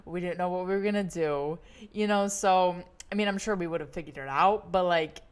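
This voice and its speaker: A woman's voice: on the low side, brisk, clean tone. A young woman from the United States hosting a travel livestream, rambling casually with lots of fillers and small laughs. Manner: rambling, many fillers and laughs, relaxed storytelling. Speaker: livestream travel vlogger host. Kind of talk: casual chatty livestream monologue.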